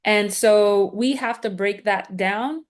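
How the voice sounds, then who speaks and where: A woman from Guyana speaks as a guest on a call, mid-pitched and measured. She explains her points in an earnest, steady way. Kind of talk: lecture-style answer on a video call.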